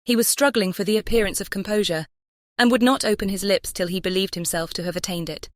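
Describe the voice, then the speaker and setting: A woman's voice: mid-range voice, steady tone, fast, no echo, clean studio sound. A woman narrating a classic novel as an audiobook, composed and carefully phrased. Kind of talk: scripted literary narration.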